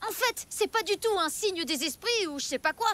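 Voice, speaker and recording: A woman playing an earnest, curious and brave prehistoric child in a French-dubbed children's cartoon, expressive and childlike. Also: extremely high pitch, a little fast, full character voice. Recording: loud music behind the dialogue.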